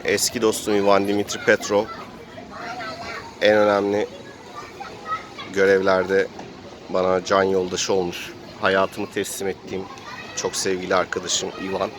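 Man narrating a dramatic tabletop adventure. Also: deep voice. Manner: dramatic play-by-play storytelling. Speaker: game master and player. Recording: faint street noise and background voices.

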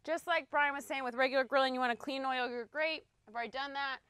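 A woman's voice: sits high, fairly even intonation, fast talker, bright, forceful tone, clear, resonant tone, no echo, studio quality. A woman in her thirties giving a casual, chatty cooking demonstration on an outdoor deck, explaining as she works. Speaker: cooking instructor. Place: outdoor deck beside a grill.

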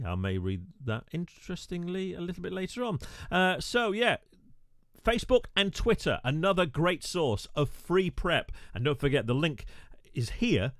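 Radio presenter, male, mid-pitched, casual tutorial talk, dry close mic. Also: no room sound. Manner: chatty, wry asides, frequent "uh" fillers. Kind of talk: screen-recorded tutorial narration.

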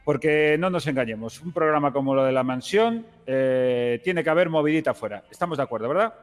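Un hombre con acento de España interpretando en un juego de rol a un mánager fanfarrón y oportunista que insiste y repite frases con grandilocuencia. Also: male voice, fairly high pitch, lively intonation, a little fast, in a large hall, close to an everyday voice. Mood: insistent. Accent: Spanish (Spain). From Spain. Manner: insistent, grandiose, repeats phrases. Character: brash, scheming male talent manager seeking TV fame. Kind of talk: improvised online roleplay.

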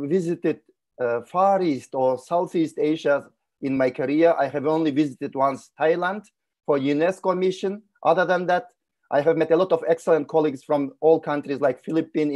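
A man's voice: a little high, darkish tone. A middle-aged man from Kyrgyzstan, giving a webinar talk on a video call in a formal, courteous way and often repeating words as he searches for them. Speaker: guest speaker. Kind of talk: webinar presentation. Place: indoor room.

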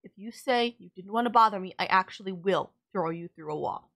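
A woman's voice: medium pitch, a little fast, a bit bright. A woman playing a sardonic villain nemesis in an audio roleplay, scolding and teasing the listener in an exasperated but caring way. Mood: scolding care.

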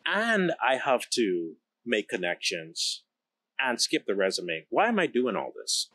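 A man's voice: medium pitch, wildly swooping pitch, unhurried, sharp tone, no echo. A man in his thirties from the Bahamas, telling his story candidly and emphatically in an interview on a close microphone. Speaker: interview guest, company founder and engineer. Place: large indoor electronics production floor, seated. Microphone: close podcast microphone on boom arm.

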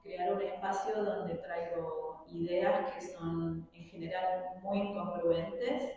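Woman, Rioplatense accent, hesitant guest talk, slow, mellow low voice, far-off roomy sound. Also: fairly even intonation, lo-fi sound. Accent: Rioplatense Spanish, slightly mixed after years abroad. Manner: hesitant, many filler sounds and restarts. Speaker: artist giving a guest talk to students. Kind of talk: informal talk with slides. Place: large university classroom. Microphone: room mic from a distance. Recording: distant, echoey hall sound.

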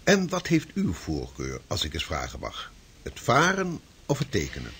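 A hearty, canny old-world clergyman of the sea, in a radio play. His husky voice swings widely in pitch as he delivers pompous, antique phrases. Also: wildly swooping pitch, moderate pace, fairly bright tone, exaggerated voice. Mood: genial, curious. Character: hearty, canny male Flemish minister and seafarer. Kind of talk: period radio drama acting.

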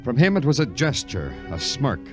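An actor playing a terse, world-weary police detective, deadpan and brooding, in hard-boiled old radio drama style. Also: male voice, mid-range voice, moderate pace, over music, natural voice. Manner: deadpan, clipped in dialogue, brooding in narration.

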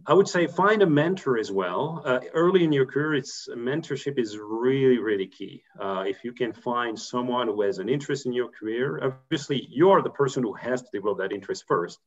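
A man's voice: medium pitch, expressive, brisk, few pauses. A man in his fifties from Belgium, a corporate treasurer giving career advice on a video call, talking thoughtfully with many fillers and restarts. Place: home room, indoors. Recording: muffled video call audio.

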